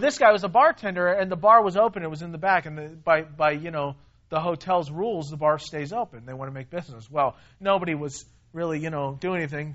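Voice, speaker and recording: A man preaching with a high, raised voice, pressing his points hard and often repeating words as he builds up. He swings from loud, stressed phrases to quiet, low asides. The recording sounds dull and muffled. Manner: emphatic, repeats himself, rises and drops in volume. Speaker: pastor. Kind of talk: sermon.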